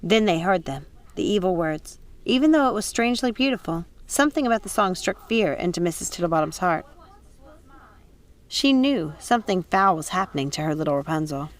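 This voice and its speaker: A woman's voice: on the low side, expressive, brisk. A woman reading an audiobook as a dramatic, suspenseful narrator. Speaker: audiobook reader. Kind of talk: dramatic scripted story narration.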